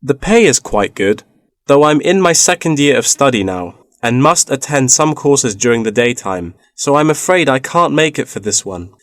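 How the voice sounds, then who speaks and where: A man's voice: mid-range voice, lively intonation, normal speed, no echo, studio quality, close to an everyday voice. A man playing a polite, inquiring university student looking for part-time work in a scripted language-test dialogue.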